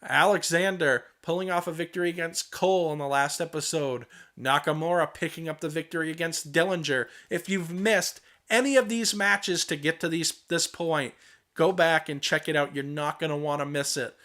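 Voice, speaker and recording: A young man with a hoarse voice from a cold, commentating a wrestling video game play-by-play and rising to shouts on big moves. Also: fairly high pitch, moderate pace. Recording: home recording with clear background noise.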